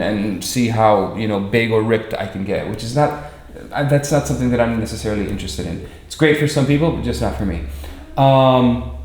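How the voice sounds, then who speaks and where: A man's voice: medium pitch, a little mellow. Male interview guest, 40s, American, casual and reflective, feeling for his words, roomy echoing sound. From Miami, United States. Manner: halting, searching for words, repeats himself. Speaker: interview guest, performer and director. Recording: echoey room.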